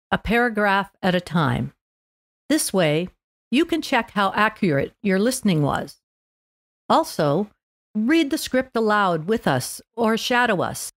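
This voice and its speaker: A woman's voice: mid-range voice, dry recording, clean studio sound. A woman podcast host reading a scripted narration aloud for language learners.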